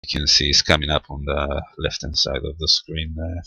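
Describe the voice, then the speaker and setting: A man's voice: rumbling low, monotone, normal speed, creaky, pushed and bright, slightly rough, no echo. A man giving an unscripted step-by-step technical demonstration, hesitant and thinking aloud as he goes. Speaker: amateur radio tutorial presenter.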